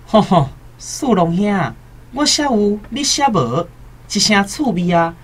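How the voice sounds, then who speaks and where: A woman's voice: mid-range voice, sing-song, measured pace, slightly rough. A woman acting an eager, insistent young scholar in a radio drama, with a Taiwanese Hokkien accent. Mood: impatient, excited. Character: eager, impatient young male scholar awaiting exam results.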